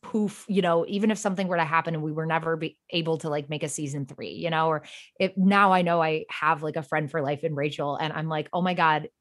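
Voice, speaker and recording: A woman's voice: deep voice, fairly even intonation, fast. A young woman guest on a podcast, chatting casually in an effusive, slangy way with lots of "like". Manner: effusive, slangy, peppers talk with "like". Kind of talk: casual chat.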